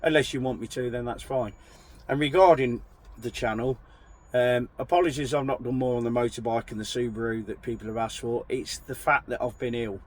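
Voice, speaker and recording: A man talks to his viewers from his truck cab, his voice still croaky from a recent chest infection. He rambles in a friendly, apologetic way, pitched at an everyday middle register. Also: steady tone, brisk. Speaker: truck driver vlogger. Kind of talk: casual chat to camera.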